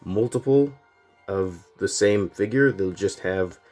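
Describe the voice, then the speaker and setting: A man's voice: fairly low pitch, takes it slowly, faint music behind. A man casually reviewing a toy set, sounding congested and a little nasal from a cold, rambling with plenty of fillers. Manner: rambling, relaxed, lots of fillers.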